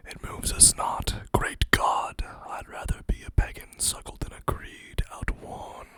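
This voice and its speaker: Slow, soft ASMR whisperer. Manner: soft whisper, slow reading with casual chatty asides. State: slightly hoarse, losing voice. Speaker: ASMR channel host. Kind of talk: whispered ASMR poetry reading.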